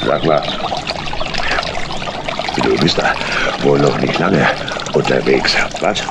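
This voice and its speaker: An actor playing a sly, falsely chummy tramp in a children's audio play, with an oily, folksy drawl. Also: male voice, rumbling low, slow pace, very noisy, full character voice. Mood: falsely friendly, sly. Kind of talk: children's audio drama acting.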